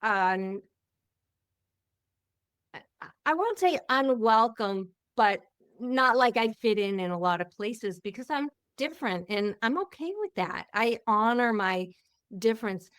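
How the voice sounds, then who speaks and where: A middle-aged woman hosting a podcast, talking in a candid, reflective way with a loud voice that carries. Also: a little high, animated, a little slow, clear, resonant tone, dry recording, studio quality. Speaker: podcast host. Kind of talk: casual interview chat.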